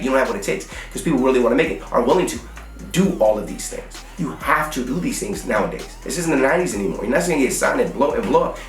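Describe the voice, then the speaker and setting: A man's voice: medium pitch, creaky, recorded from a distance. An American man giving a blunt, emphatic motivational how-to talk as a music business coach, with slangy, repetitive hustler phrasing. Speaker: music business coach and online course seller. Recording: roomy echo, slightly off the mic.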